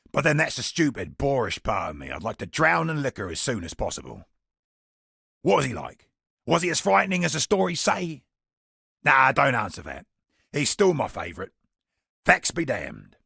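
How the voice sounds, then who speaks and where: Husky trader from a fantasy game, roguish n wry, voice goes up and down a lot. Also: male voice, medium pitch, fairly bright tone, no echo, clean studio sound, full character voice. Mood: wry, jaunty. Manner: colloquial, wry storytelling with British slang. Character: roguish, chatty male merchant, wry and worldly. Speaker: merchant character in a fantasy video game. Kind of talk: scripted video game character dialogue.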